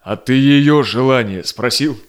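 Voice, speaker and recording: An actor playing an honourable, earnest explorer and tender suitor in a verse audio drama, with a measured delivery. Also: male voice, medium pitch, smooth, lightly acted. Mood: indignant.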